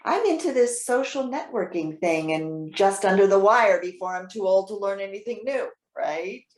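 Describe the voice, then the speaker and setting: A woman playing a trusting, excitable elderly widow in readers theater, talking in a chatty, halting, earnest way. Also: medium pitch, close to an everyday voice. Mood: proud, chatty. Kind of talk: readers theater stage acting. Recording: roomy, echoing sound.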